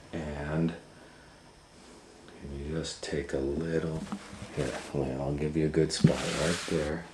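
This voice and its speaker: A man's voice: a bass voice, very noisy. A man hosting storytime, telling a children's story informally with hesitant, conversational asides. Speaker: storytime host and reader.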